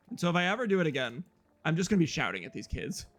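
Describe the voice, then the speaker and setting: A young man with a loud, slightly hoarse voice, rambling casually to his viewers as a live streamer while he plays a game. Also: fairly high pitch, sing-song, fast. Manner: loud, rambling, talks straight to chat. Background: faint guitar music.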